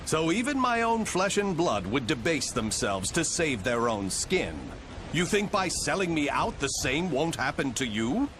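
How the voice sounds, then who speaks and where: A man's voice: a little high, very animated, unhurried, background noise. An actor playing a proud, desperate male noble swordsman in a game cutscene, speaking in a haughty, aristocratic way. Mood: scornful.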